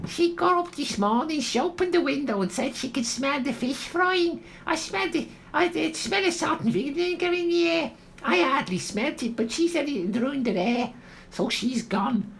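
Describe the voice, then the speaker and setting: A woman's voice: high-pitched, normal speed, gravelly, slight background noise. A woman playing a blunt, gossipy Liverpudlian holidaymaker with a Scouse accent in a comedy radio play. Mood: friendly, chatty. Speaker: actor.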